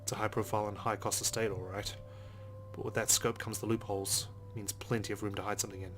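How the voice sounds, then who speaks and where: A low-voiced man reads visual novel narration aloud for a let's play. He moves along quickly with little rise or fall in his tone, while game music plays behind him. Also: little pitch movement, a bit bright.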